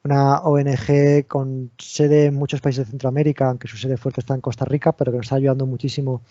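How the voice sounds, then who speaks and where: A man's voice: mid-range voice, little pitch movement, fairly quick, mellow, no echo, highs cut off. A man with an accent from Spain giving an online technical talk, explaining step by step and often restarting his sentences. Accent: Spain Spanish. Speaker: invited lecturer.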